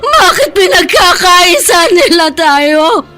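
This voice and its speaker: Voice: very high voice, big pitch swings, slow pace, pushed and bright, soft background music, full character voice. An actor playing an anguished young lover, crying out in a melodramatic radio drama. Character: anguished, persecuted young lover protesting bitterly.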